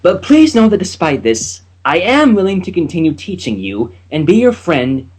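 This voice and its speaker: A man voicing an earnest, awkward young female unicorn student in a halting way, for a story reading. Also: medium pitch, big pitch swings, darkish tone, breathy edge. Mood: gentle, wary.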